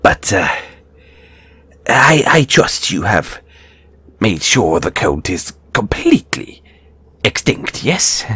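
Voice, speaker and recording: A man's voice: fairly low pitch, very animated, takes it slowly, pauses often, bright, forceful tone, breathy edge, no room sound, exaggerated voice. A man acting a squeamish, timid gargoyle handler in an audio roleplay, stammering and speaking with nervous, formal politeness. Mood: apologetic, nervous.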